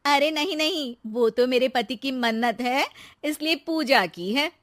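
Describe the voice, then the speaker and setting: A woman's voice: high-pitched, unhurried. A woman voicing a clever young village wife in a cartoon story, exasperated yet sly and sweet-talking. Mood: falsely sweet.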